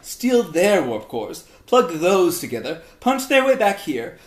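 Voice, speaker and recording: A man's voice: high-pitched, voice pushed up. A man voicing an exasperated, wry alien scientist in a dramatic reading, with emphatic, incredulous delivery. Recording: echoey, roomy sound.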